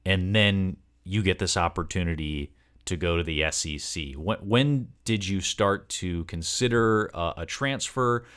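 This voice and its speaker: Deep-voiced man hosting a sports interview. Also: a little slow, a bit bright, clean tone. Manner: wordy, long multi-part questions. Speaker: podcast host and interviewer.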